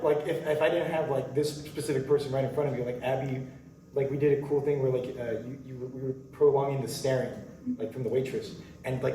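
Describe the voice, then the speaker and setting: A man's voice: medium pitch, mellow. A man speaking as an actor in a casual Q&A, rambling with enthusiasm and hesitation. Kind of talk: casual Q&A chat. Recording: echoey room, distant mic, background noise.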